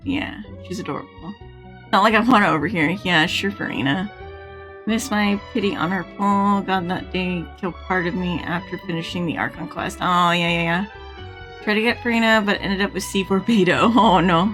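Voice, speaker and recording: A woman's voice: fairly low pitch, normal speed, a bit bright, soft background music. A woman live streamer chatting casually over a video game in rambling, informal asides.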